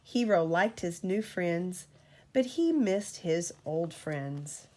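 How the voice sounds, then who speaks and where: A woman reading a storybook aloud to a child as a parent, in an expressive, deliberate storytelling manner. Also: on the low side.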